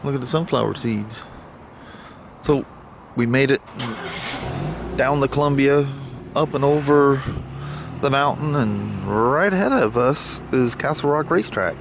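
A man's voice: mid-range voice, animated, measured pace, creaky, long pauses. A man from the US East and Gulf Coasts, chatting in a folksy, laid-back way as he narrates a road trip vlog on a handheld camera. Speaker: vlogger. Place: outdoors on a windy beach, later in a moving car. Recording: loud wind and vehicle noise.

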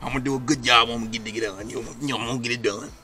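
A man's voice: mid-range voice, fairly even intonation, rapid-fire, bright, forceful tone, soft background music. A man with a Memphis Southern accent playing a sleazy, flirtatious backyard mechanic in an improvised outdoor comedy skit, talking in a slick, rambling drawl. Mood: salesy. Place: outdoors in a suburban driveway.

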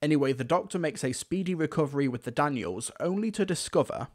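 A man's voice: a little high, expressive, fast talker. A man delivering scripted review narration as a reviewer.

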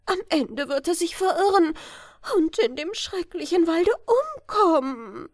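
A fretful queen mother from a fairy tale, acted out by a woman reader. Her voice is pitched very high and wails anxiously, full of dread for her boy. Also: expressive, a little slow, no echo, clean studio sound. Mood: distraught, wailing. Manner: anxious, wailing.

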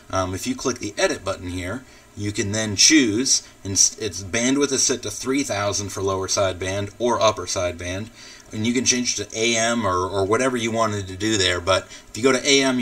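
An American man giving a casual, informal software tutorial as he explains things step by step. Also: on the low side, no echo, recorded from a distance. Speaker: tutorial presenter, radio hobbyist. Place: indoors at a computer.

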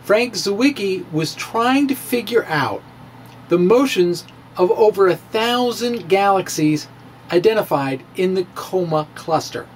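A middle-aged man giving a theatrical reading of popular science, with drawn-out emphasis and pauses. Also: high-pitched, expressive, a little hiss or hum. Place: small room with bookshelves. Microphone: handheld-style microphone on a stand, close in front.

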